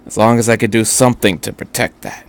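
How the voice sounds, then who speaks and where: Casting: man playing tired older labourer, humble and reflective, halting plain speech, audio story. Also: on the low side, fairly even intonation, creaky. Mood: weary, reflective. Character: gruff, weary older widowed Japanese factory worker.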